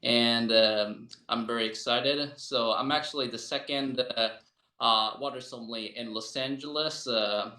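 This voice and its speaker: A man's voice: medium pitch, fairly even intonation, unhurried, bright, forceful tone. A man from the United States speaking casually and hesitantly as a guest and translator on an online call. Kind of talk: casual self-introduction. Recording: echoey room, video call.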